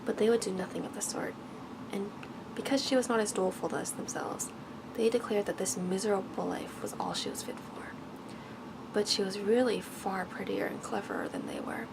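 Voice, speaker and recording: Female ASMR storyteller, mid-pitched, breathy and near-whispered, with creaky edges, gentle halting delivery. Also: sing-song. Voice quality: soft, breathy, often slipping into whisper. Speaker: ASMR host reading a bedtime story. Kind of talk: ASMR, soft-spoken storybook reading. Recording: noticeable background noise.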